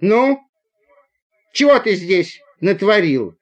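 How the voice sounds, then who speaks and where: Man playing a shrill, flustered young conductress. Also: animated, measured pace, lo-fi sound. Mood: demanding. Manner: scolding, shouting, tearful exclamations.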